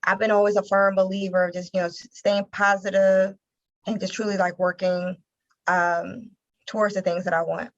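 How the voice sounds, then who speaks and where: An American woman from Philadelphia answering interview questions conversationally, haltingly repeating words as she thinks. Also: medium pitch, flat delivery, highs cut off. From Philadelphia, United States. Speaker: interview guest, nonprofit communications director.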